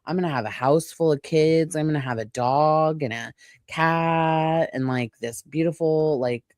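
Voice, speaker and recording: A woman's voice: low voice, a little slow, darkish tone, dry recording, clean studio sound. A woman in her late thirties chatting casually as a podcast co-host, rambling and acting out imagined quotes. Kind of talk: casual personal chat.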